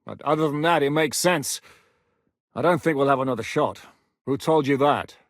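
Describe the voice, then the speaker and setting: A blunt, battle-hardened war commander from a video game, voiced by a middle-aged man, handing out orders in a voice pitched a little above the usual for a man. He talks plainly and commandingly and swears without a second thought. The studio sound is dry and close, with no room echo. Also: moderate pace, natural voice. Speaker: military commander. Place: studio booth.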